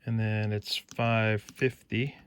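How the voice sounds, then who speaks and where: A man's voice: lowish voice, slow. A man giving a hands-on technical demonstration, thinking aloud methodically as he works through each step. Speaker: electronics hobbyist demonstrator. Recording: steady background noise.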